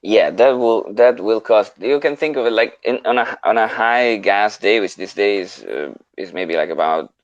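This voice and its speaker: A man's voice: on the low side, moderate pace, few pauses, no echo, muffled recording. A man chatting casually as co-host of an online talk show, explaining things step by step and giving encouraging advice. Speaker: co-host answering questions on a live audio show.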